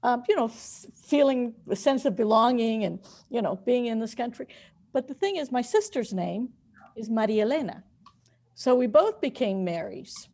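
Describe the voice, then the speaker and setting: A woman's voice: fairly high pitch, expressive, moderate pace, dark tone. A woman from Cuba, an attendee at an online seminar, sharing a personal story hesitantly, with many fillers.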